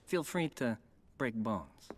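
A man's voice: mid-range voice, very animated, slow. An actor playing a menacing corrupt male police lieutenant in a video game cutscene, cold and threatening, sinking to a hiss. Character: menacing corrupt male cop, middle-aged.

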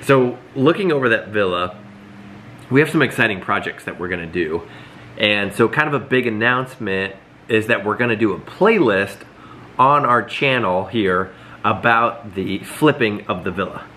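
A man in his thirties giving a quick, upbeat house tour and chatting at home. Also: on the low side, lively intonation, sharp tone, slight background noise. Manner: quick, upbeat, rambling with restarts. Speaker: vlog co-host. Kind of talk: casual house tour and chat. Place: living room at home, indoors.

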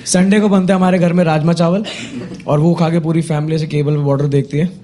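A man's voice: a little high, fairly even intonation, fast talker. A young man with an Indian accent doing conversational, exasperated stand-up storytelling into a handheld microphone, acting out dialogues as he goes. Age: young adult. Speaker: stand-up comedian. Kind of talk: stand-up comedy storytelling in casual Hinglish. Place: small indoor comedy club stage. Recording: noisy live club with audience laughter. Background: audience laughter and noise.

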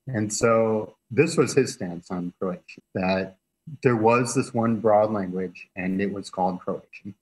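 A man appearing as a guest expert, explaining history in a relaxed way and chuckling as he goes, heard over a remote video call. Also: on the low side, unhurried, husky, low-quality recording.

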